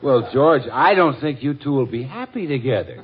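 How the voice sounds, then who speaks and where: A man's voice: mid-range voice, lively intonation, moderate pace, crowd noise, lightly acted. A man playing a jovial, wisecracking family friend and announcer with a jaunty salesman's manner in a vintage radio comedy. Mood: joking. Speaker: announcer and comic sidekick. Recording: dull lo-fi old radio recording.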